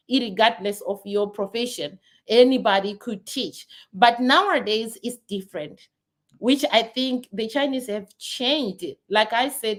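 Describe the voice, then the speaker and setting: A Zimbabwean woman in her thirties speaks straight to her audience in a loose, unscripted vlog. Her voice sits at an ordinary middle pitch, and her talk is earnest, with many restarts and repeated words as she works through her thoughts. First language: not English. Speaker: vlogger. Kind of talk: casual talk to camera.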